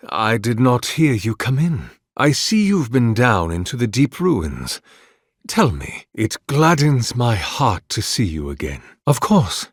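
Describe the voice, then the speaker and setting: An actor playing a devout, obsessive male scholar of soul magic in a fantasy video game, speaking with formal, grandiose, deliberate diction. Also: medium pitch, no room sound, studio quality. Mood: courteous. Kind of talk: theatrical fantasy game acting.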